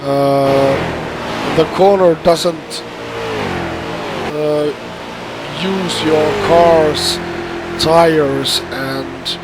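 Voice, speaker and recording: A man with a hoarse, flu-worn voice, sitting a little high in pitch. He drags his words out very slowly, pausing and restarting often as he narrates a racing game. A loud, steady hum of background noise sits under the whole recording. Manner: halting, many pauses and restarts. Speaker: sim racing gamer. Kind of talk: gameplay commentary.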